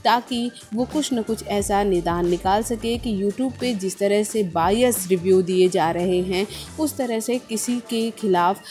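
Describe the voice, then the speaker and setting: A woman's voice: medium pitch, measured pace, darkish tone. A woman presenting entertainment gossip news as a scripted narration, explaining and restating points with emphasis. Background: background music.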